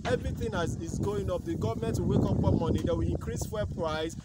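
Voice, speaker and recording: Young Nigerian man, Nigerian accent, animated outdoor farm-vlog host, high voice, recorded close on a phone with heavy wind noise. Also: fast talker. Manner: animated, gesturing with both hands. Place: outdoor building site, wind.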